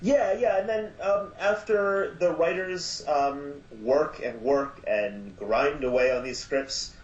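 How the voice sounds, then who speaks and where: A man guest on a podcast interview, talking casually about his show and pausing often with fillers. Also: a little high. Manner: hesitant, many filler sounds. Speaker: podcast guest from an audio drama. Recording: echoey, dull lo-fi line.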